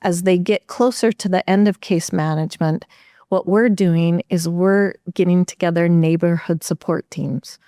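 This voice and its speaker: An earnest, upbeat woman explains things at length on a podcast. Her voice sits a little low and sounds mellow. The room is dry and close-miked. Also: fairly even intonation, moderate pace, smooth, no room sound. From United States. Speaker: executive director of a refugee nonprofit, podcast guest. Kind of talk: conversational podcast interview.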